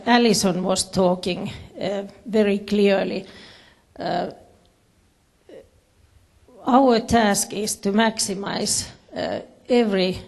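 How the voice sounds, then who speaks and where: A woman with a Finnish accent giving a presentation in English as a second language, haltingly searching for her words. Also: medium pitch, gravelly, darkish tone. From Finland. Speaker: conference speaker, education official. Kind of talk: presentation to an audience with slides.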